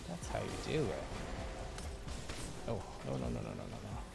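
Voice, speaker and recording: A man's voice: very deep voice, wildly swooping pitch, moderate pace, gravelly. A man giving casual, easygoing live commentary on his own gameplay, talking to himself as he plays. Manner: easygoing, talks to himself while playing. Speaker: game streamer. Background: video game music.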